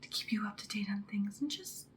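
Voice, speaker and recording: A woman giving an ASMR host's introduction in a breathy whisper. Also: mid-range voice, a little fast. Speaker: ASMR channel host.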